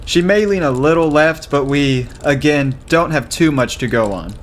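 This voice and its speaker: A man playing a serious, studious teenage debate researcher in an amateur radio drama, giving measured, factual briefings. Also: fairly high pitch, slight background noise, close to an everyday voice.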